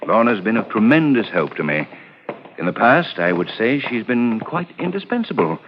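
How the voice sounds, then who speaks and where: An actor playing a suave, sinister, jealous scientist in a 1940s radio drama, with a silky, insinuating, theatrical delivery. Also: male voice, on the low side. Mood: falsely cheerful. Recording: muffled, slightly noisy old radio recording.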